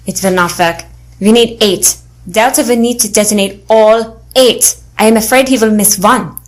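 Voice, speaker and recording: A woman's voice: mid-range voice, moderate pace, lightly acted. A woman playing an earnest, methodical demolitions expert in an adventure audio drama, speaking precisely and seriously. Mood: focused.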